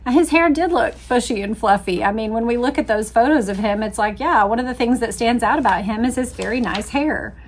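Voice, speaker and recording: A woman's voice: on the high side, brisk, few pauses. American woman, readalong host with chatty asides. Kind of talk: reading a history book aloud with casual commentary.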